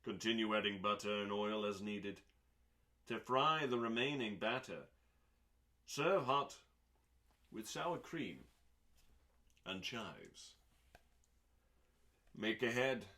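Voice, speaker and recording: A man reading recipes aloud as a host, in a dramatic audiobook style with deliberate pauses and emphasis. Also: lowish voice, lively intonation, pushed and bright.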